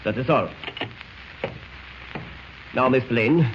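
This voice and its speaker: An actor playing a menacing, formally polite villain with a German accent, in 1940s radio style. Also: male voice, medium pitch, slow pace, close to an everyday voice. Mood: icily polite. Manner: formal, precise, threatening. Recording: muffled, hissy old radio recording.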